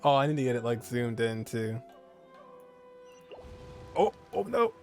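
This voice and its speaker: Casting: male streamer, medium pitch, chatting casually over a video game with music behind. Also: very animated, measured pace. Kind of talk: casual game playthrough commentary.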